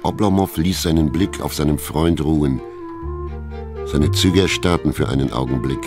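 A man narrating a German literary radio play in a measured, sober, detached storytelling manner. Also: extremely low pitch. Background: music.

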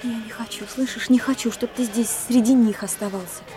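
A woman's voice: fairly high pitch, steady tone, fairly quick, over music, natural voice. An actress playing an anxious, devoted woman who is an uneasy guest, in a radio play. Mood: pleading.

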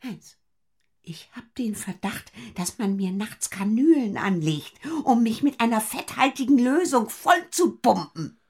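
An actress playing a fragile, paranoid older mother in a radio play, plaintive and husky. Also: on the high side, animated, measured pace, a little mellow, full character voice. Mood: conspiratorial whisper. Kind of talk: German radio play acting.

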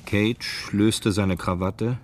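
Laconic crime narrator, very low voice, unhurried, detached radio drama read. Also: male voice, steady tone, slight background noise.

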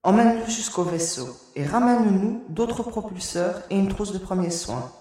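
A woman playing a determined space explorer leading a rescue, giving calm, commanding orders in an echoey hall. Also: mid-range voice, moderate pace, close to an everyday voice. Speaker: audio drama character. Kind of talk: audio drama acting.

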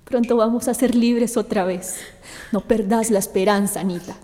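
An actress playing a gentle, hopeful young woman who survived captivity, in a radio drama. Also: a little high, steady tone, moderate pace, natural voice. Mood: tender hope.

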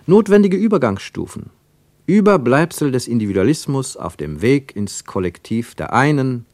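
A brooding male narrator in a radio play, voicing bitter inner thoughts. His medium-pitched voice moves widely up and down, recorded very dry and close. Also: sing-song, normal speed, a little mellow, dry recording.

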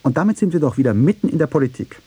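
A man delivering scripted commentary for a radio feature in a husky, mellow voice. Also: a little high, very animated, very fast, no room sound, faint music behind. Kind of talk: scripted narration.

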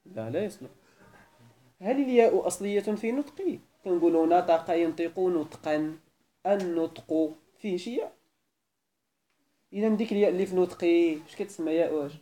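A Moroccan teacher with a mellow, dark voice leads a grammar class, firing short questions at his students and correcting their answers. He slides between formal Arabic and his local dialect, with some words coming from farther off the mic. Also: high-pitched, brisk. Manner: probing, repeatedly asks why and corrects.

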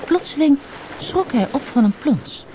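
Audiobook narrator, scripted fairy-tale reading, expressive, animated intonation, slightly noisy old cassette. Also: high voice, a little slow. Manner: expressive, dramatic storytelling.

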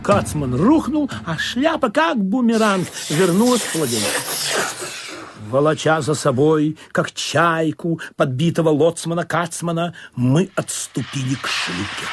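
A man giving expressive, dramatic first-person narration in a theatrical radio play. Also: fairly high pitch, a little slow. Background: music.

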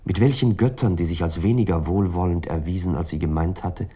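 An actor playing a jealous, brooding lover and narrator in a literary radio drama, speaking in a measured, grave, introspective way. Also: male voice, low-pitched, mellow, slightly rough. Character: jealous, brooding male narrator, tormented lover questioning his girlfriend.

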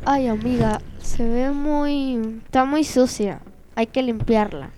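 Woman, high voice, slow pace, improvised radio theatre, jittery character, faint background noise. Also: warm tone. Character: jittery young woman exploring a haunted house with a friend. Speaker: student actor in an improvisation exercise.

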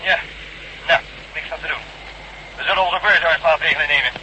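Radio-play officer, grave and formal, high-pitched voice, noisy transmission. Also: male voice, fairly quick, bright, forceful tone. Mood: businesslike. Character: serious officer speaking over radio. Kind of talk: Dutch radio drama acting.

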